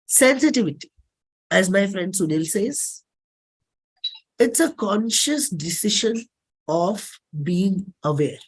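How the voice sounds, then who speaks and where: A middle-aged performer with an Indian accent, giving a dramatic autobiographical reading in measured, deliberate phrases. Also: mid-range voice, animated, husky, poor audio. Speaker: singer and performer.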